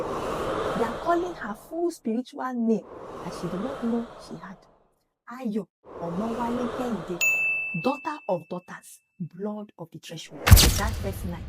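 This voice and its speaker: A woman's voice: on the high side, very noisy. A woman narrating a scripted story dramatically, emphatic and speaking straight to the listener.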